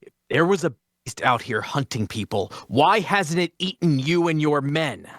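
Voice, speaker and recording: A man playing a skeptical, mocking lawman adventurer in a dramatic table read, questioning in a sardonic, deliberate way. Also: on the high side, lively intonation, a bit bright, no echo, studio quality.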